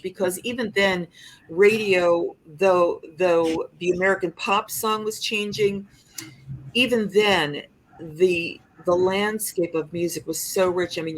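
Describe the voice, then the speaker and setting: Expressive older woman, reflective. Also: lowish voice, unhurried, rough recording. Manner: reflective, expressive, with frequent restarts. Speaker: singer-songwriter guest. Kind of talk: podcast interview conversation.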